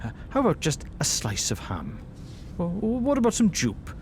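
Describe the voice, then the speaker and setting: Hesitant male sidekick detective , played by an actor, stammering and earnest, very lively intonation, comic audio drama, faint rumble. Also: moderate pace. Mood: flustered, eager. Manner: stammering, flustered.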